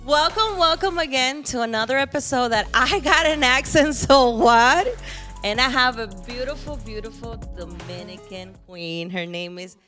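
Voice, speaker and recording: A woman's voice: a little high, moderate pace, sharp tone, soft background music. A woman with a Spanish-speaking Caribbean accent hosting a podcast interview, effusive and affectionate, laughing often. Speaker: podcast host. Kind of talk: casual interview chat.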